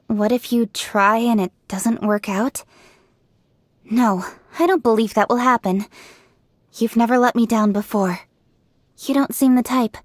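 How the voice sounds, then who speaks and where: An actress voicing a driven, confident young woman official in a video game, speaking in a brisk, forthright way. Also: a little high, no echo, clean studio sound. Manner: brisk, forthright and self-assured. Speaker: game character voice lines. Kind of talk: game voice-over.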